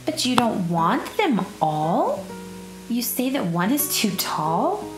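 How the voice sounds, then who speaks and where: A woman narrating a rhyming children's picture book in an expressive, measured storytelling way. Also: a little high. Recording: roomy echo, music behind. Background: plucked guitar music.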